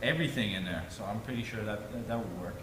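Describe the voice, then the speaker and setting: A man co-hosting a casual podcast, telling loose stories with many pauses and fillers. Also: fairly low pitch, fairly bright tone. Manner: rambling, storytelling, many "uh" fillers. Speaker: podcast co-host. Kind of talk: casual chat. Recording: echoey room with background noise.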